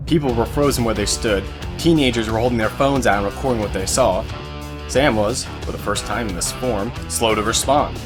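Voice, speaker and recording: A man narrates an action story as a scripted audio drama. His voice sits at a middle pitch, with guitar music clearly playing behind it.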